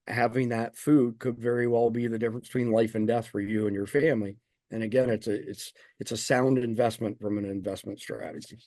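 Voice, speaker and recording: A man's voice: flat delivery, a little fast, mellow, no room sound. A man being interviewed as a guest, explaining his points confidently and at length. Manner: confident, explanatory, making a pitch. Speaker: guest, survival news founder. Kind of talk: interview answers.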